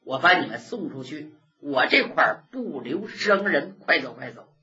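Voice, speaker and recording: A woman's voice: low voice, very animated, moderate pace, a crowd in the background, voice pushed down. A woman storyteller voicing a kindly, sighing old Buddhist nun, in a dull lo-fi recording. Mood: tender. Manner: sighing, chatty, motherly.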